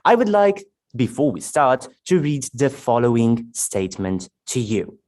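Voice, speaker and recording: Male debate moderator, formal and measured, animated pitch, video call. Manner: measured, announcing formal introductions.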